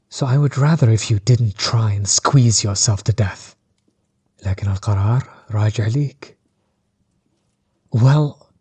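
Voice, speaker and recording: A man playing a menacing, bitter sorcerer overlord in an audio roleplay, breathy and husky, measured and condescending, often sinking toward a whisper. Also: fairly low pitch, mellow. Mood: commanding, insistent. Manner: measured, condescending, coldly taunting. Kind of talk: scripted villain monologue, audio roleplay.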